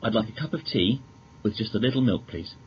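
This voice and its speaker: A man's voice: fairly low pitch, fairly quick. A man chatting politely and a little hesitantly as an interview guest. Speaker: podcast guest, magazine editor. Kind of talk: polite casual interview chat. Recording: dull lo-fi recording, background noise.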